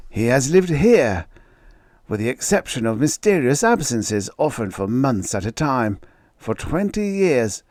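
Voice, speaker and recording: A man voicing a grave, hesitant gentleman who recounts strange events earnestly. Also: mid-range voice, sing-song, a little slow, no room sound, clean studio sound. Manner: earnest, reluctant storytelling.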